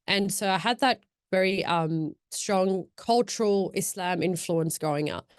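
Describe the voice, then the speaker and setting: A woman's voice: fairly low pitch, measured pace, smooth, no room sound, studio quality. A young woman from Australia, born in Pakistan to Afghan parents, talking as a podcast guest about her faith and reading, thoughtful and rambling, with many fillers. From Afghan, born in Pakistan, raised in Australia. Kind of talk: casual reflective chat.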